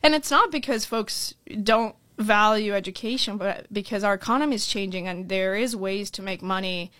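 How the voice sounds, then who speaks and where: A woman's voice: medium pitch, a bit bright, no echo. A young Colombian-born woman running for school board, talking earnestly in a podcast interview and often tagging her points with "right?". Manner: earnest, fast-flowing with frequent "right?" tags.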